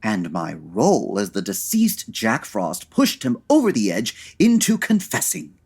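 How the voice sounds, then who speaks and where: Give me a husky, theatrical, fussy dandy. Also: male voice, fairly low pitch, huge pitch swings, unhurried, a little mellow, heavily acted. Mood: haughty, put out. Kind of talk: comedy audio drama.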